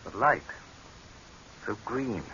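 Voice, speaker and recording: A pompous, imperious little dignitary in a vintage radio play. His voice is low and very animated, and he muses with condescension. The recording is dull and noisy. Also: slow pace, full character voice. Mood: uneasy, musing. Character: pompous, imperious small lean dignitary, older than his doctor. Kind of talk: 1930s-40s radio drama acting.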